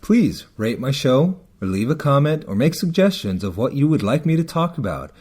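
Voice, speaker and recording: A man's voice: medium pitch, a little mellow, slightly rough. A man hosting a casual podcast, talking in an earnest, rambling way and often correcting himself mid-sentence. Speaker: podcast host.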